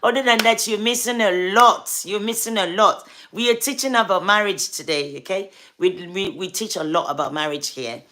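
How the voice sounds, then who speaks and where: A woman's voice: medium pitch, moderate pace, hardly any pauses, fairly bright tone. A woman with a Ghanaian accent, a gospel artist hosting a casual livestream, chatting with viewers by name and urging them to subscribe.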